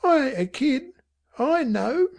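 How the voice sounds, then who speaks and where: A woman voicing a defiant, streetwise slum boy with speech and rough slang in an audiobook. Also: high-pitched, animated, slow pace, darkish tone, voice pushed up, heavily acted. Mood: accusing. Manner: rough dropped-grammar slang. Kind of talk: audiobook character voice.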